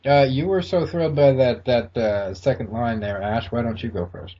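A man's voice: medium pitch, normal speed, dull audio. A man in casual group banter on a voice call, often stammering and repeating words.

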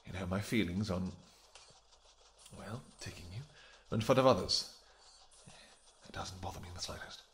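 A man voicing a flirtatious royal vampire king who teases affectionately, with a gravelly voice. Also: normal speed, pauses often, a little hiss or hum, lightly acted. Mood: flirty teasing. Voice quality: gravelly, low. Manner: teasing, affectionate, soft murmurs. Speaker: audio roleplay performer. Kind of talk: audio roleplay acting.

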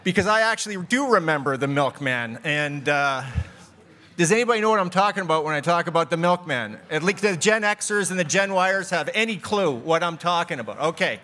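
A man's voice: fairly high pitch, expressive, fairly quick, bright, forceful tone. Man moderating a conference session. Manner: reads from notes, then jokes with the audience. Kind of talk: introducing a keynote speaker. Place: conference hall.

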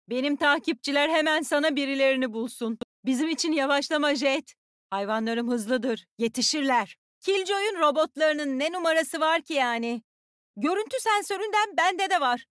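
A woman playing a tough, bossy young soldier who commands animals in a video game, with a brisk, commanding, teasing delivery. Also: high voice, a bit bright, no room sound, clean studio sound. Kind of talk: video game character voice lines.

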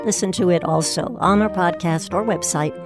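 Woman host reading a scripted intro, lowish voice, clear music behind her. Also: normal speed. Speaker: host introducing a radio play. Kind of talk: scripted narration.

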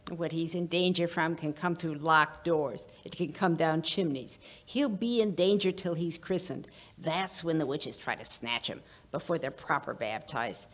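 A defiant old Irish nanny full of dark superstition, from a radio play, acted by a woman. Her voice is very low for a woman, stubborn and foreboding. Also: unhurried, hardly any pauses. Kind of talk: old-time radio drama acting. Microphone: stand microphone.

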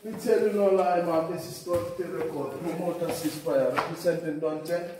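A man's voice: a little high, fairly even intonation, normal speed. A man hosting a casual promotional vlog, talking in slangy phrases and repeated catchphrases. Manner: repetitive, slangy Patois phrasing. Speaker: music promoter and vlog host. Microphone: phone camera a few metres away. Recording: noisy, distant phone video audio.

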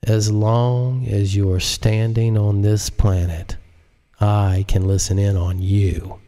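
A man voicing a smug, menacing male computer genius, calm, deliberate and condescending, in an audiobook. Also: on the low side. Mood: smug threat. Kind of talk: audiobook character acting.

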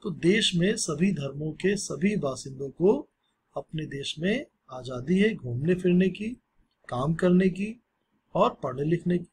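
A male teacher explaining a social studies lesson in a relaxed, conversational way, mixing in English phrases. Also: fairly high pitch, measured pace, husky.

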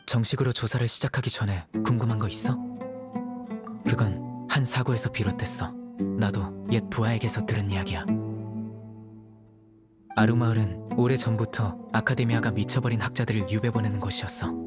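A man voicing a calm, stern young male judge in a dubbed game, speaking in a measured, dry way. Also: fairly low pitch, over loud music, lightly acted. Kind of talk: game dub voice acting.

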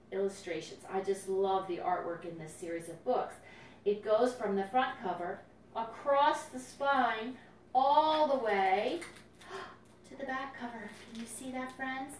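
Higher-voiced woman in a roomy, distant recording. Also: slight background noise. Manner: expressive, simple explanatory talk addressed to children. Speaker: children's storytime host. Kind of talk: library storytime read-aloud and nature explaining for young children. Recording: roomy echo, distant microphone.

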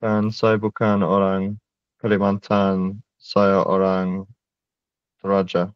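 A young man wearing a headset, a student slowly and carefully repeating phrases in an online language lesson. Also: low voice, monotone, smooth, no room sound, muffled recording. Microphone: headset on video call.

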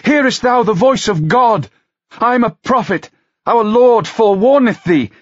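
Shrill, ranting young female prophet, put on by a man reader; high and loud. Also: animated, measured pace, no room sound, highs cut off, exaggerated voice. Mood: ranting, fervent. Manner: shrill, wailing, strident. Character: shrill young woman religious prophet, fervent.

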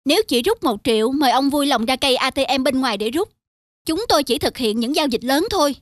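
A woman's voice: high voice, expressive, fast talker, dry recording, clean studio sound. A woman voicing an officious young bank teller in a cartoon, speaking with formal customer-service politeness. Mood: curt, dismissive. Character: officious young female bank teller, dismissive then deferential. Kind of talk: cartoon dubbing. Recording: music under the voice.

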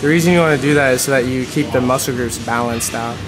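A man's voice: mid-range voice, fast. A young man coaching someone through a workout in a large gym, explaining exercises in an upbeat, teasing way. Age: young adult. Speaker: workout guide. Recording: loud music under the voice.

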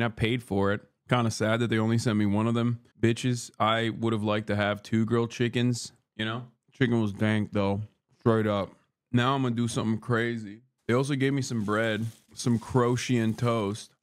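A man in his thirties, from Turkey, chatting casually and profanely on a livestream while eating, close to a studio microphone in a small room. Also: fairly low pitch. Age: 30s. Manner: brash, profane, joking, talks with food in mouth. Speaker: streamer. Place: small wood-paneled room, indoors. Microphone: studio mic on boom arm close to face.

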